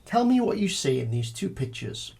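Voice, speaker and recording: A man's voice: on the high side, big pitch swings, normal speed, creaky, noisy background. A man reading formal, scripted speaking-test instructions and questions as an examiner.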